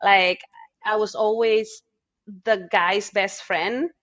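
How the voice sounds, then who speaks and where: A young woman from Peru with a Peruvian Spanish accent, chatting as a podcast guest and acting out quotes in a higher, excited voice. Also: slow pace, highs cut off. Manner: animated storyteller, acts out quotes in a higher voice, laughs often. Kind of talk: casual podcast conversation.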